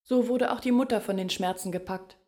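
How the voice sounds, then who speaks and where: An actress speaking sober, reflective lines as one voice in a radio play's chorus. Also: female voice, mid-range voice, fairly quick, darkish tone, close to an everyday voice. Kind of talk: radio play narration. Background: music.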